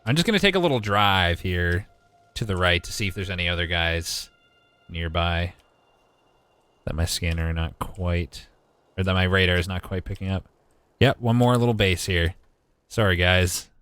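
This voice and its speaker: Very deep-voiced young man streaming a game. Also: moderate pace, long pauses, a bit bright, clear, resonant tone, no echo. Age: 20s. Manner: wry, joking, mild swearing, talks to chat. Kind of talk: casual live gaming commentary with chat replies.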